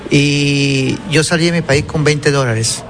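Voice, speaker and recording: A man's voice: fairly high pitch, fairly even intonation, slow, a bit bright. Middle-aged man, earnest. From Venezuela. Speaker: interviewee.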